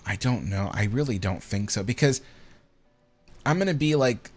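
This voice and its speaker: A man's voice: medium pitch, animated, moderate pace, darkish tone, soft background music. A tired man in his thirties hosting a casual livestream, rambling with many ums as he answers viewer comments. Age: 30s. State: tired, yawning. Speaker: livestream host.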